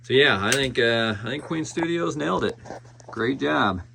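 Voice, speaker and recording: A man's voice: medium pitch, expressive, normal speed, gravelly, bright, forceful tone, slight background noise. A man giving a casual, informal collector's product review, speaking hesitantly as he trails off and circles back over his points.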